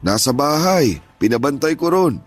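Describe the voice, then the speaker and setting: A man playing an aging father in a radio drama, stern at first and then gentle and pleading with his grown son. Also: on the high side, lively intonation, moderate pace, soft background music. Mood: confronting. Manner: pleading, earnest, scolding turning gentle. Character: aging father, stern yet tender, grieving. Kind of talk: Tagalog radio drama acting.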